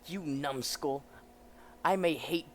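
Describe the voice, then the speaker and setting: A man's voice: on the high side, slow pace, a bit bright, a little hiss or hum, voice pushed up. A man voicing a bullying, corrupt 1930s New York policeman from a comic, sneering and threatening in slang. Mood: sneering, extorting.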